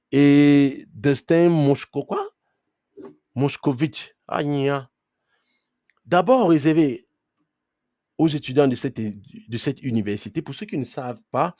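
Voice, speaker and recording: A man leads a live online class through a computer mic on a video call, with a dry sound and no room echo. His pitch sits in the middle range. He talks conversationally, keeps checking in with his students, and firmly tells latecomers to mute their mics. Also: darkish tone. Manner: firm with late students about muting. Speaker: trainer.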